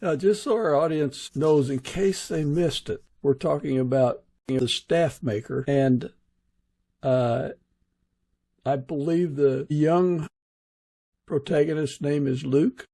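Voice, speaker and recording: A man hosting a podcast, interviewing casually in an unhurried, halting way. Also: a little high, warm tone, dry recording. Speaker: podcast host.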